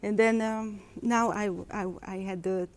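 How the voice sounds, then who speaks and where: A woman's voice: mid-range voice, fairly even intonation, normal speed. A woman, a spa owner who is a guest on a radio interview, giving short, giggly answers in English. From Hungary. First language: Hungarian.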